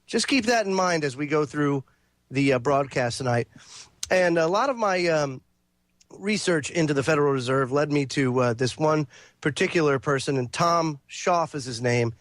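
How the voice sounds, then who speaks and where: A man's voice: fairly high pitch, lively intonation, normal speed, a bit bright, no room sound. A male talk radio host who sounds congested, delivering a monologue and reading passages aloud with frequent fillers and restarts. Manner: reads quotes and lists aloud, with fillers and restarts.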